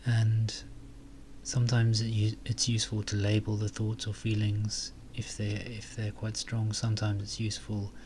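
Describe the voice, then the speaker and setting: A man softly leading a guided meditation, calm and unhurried, with long pauses between phrases. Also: fairly low pitch, slight background noise.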